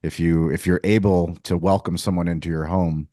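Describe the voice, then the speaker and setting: A man's voice: low voice, steady tone, normal speed, warm tone, dry recording, clean studio sound. A man hosting an interview show in a conversational style, introducing the format and putting questions to his guest. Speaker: podcast host, restaurateur.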